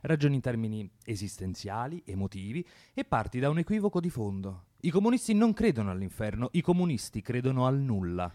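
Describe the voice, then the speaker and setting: An actor playing a cold, doctrinaire communist militant in a radio drama, lecturing and provoking in a commanding way. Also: male voice, mid-range voice, big pitch swings, a little fast, hardly any pauses, dark tone, no echo, studio quality, close to an everyday voice. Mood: cold, scornful.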